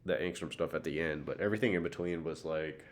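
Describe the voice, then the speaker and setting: A young man with a very deep voice co-hosts a podcast. He talks casually, peppering his speech with slang and profanity. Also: quick pace. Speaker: podcast co-host.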